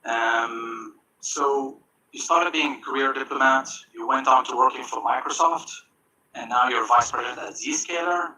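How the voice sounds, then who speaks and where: Bright-voiced male panel moderator. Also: mid-range voice, unhurried, poor audio. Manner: joking, hesitant questioning with many fillers. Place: conference stage.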